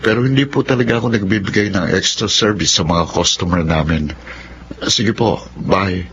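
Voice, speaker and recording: Deep-voiced, earnest young Filipino actor as student. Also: male voice, normal speed, noisy background, lightly acted. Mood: polite, firm. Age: young adult. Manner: polite, earnest. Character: earnest, principled young male working student. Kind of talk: radio drama acting. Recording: muffled radio drama, music bed underneath.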